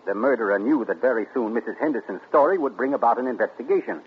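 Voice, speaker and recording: A gloating radio-play sleuth, 1940s. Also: male voice, medium pitch, animated, husky, muffled recording. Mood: smug. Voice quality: theatrical, deliberate, relishing. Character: suave, gloating male amateur sleuth. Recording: scratchy 1940s radio broadcast.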